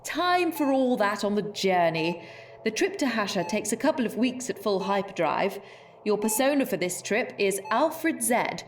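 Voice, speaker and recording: An actress playing the brisk, unflappable chief of a space spy bureau, formal and dryly authoritative, in a sci-fi audio drama. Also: female voice, medium pitch, animated, slight background noise, close to an everyday voice. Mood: businesslike.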